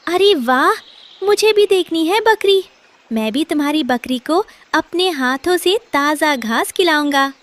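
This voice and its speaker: A woman's voice: high-pitched, expressive, measured pace, a bit bright. A woman voicing a whiny, eager young boy crow with a childish, pleading way of talking in a children's cartoon. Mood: curious, delighted.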